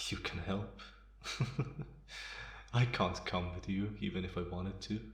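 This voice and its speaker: A man playing a bitter, guarded, centuries-old vampire in a slow ASMR roleplay monologue with measured pauses. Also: low-pitched, gravelly, fairly bright tone, heavily acted. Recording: roomy, echoing sound.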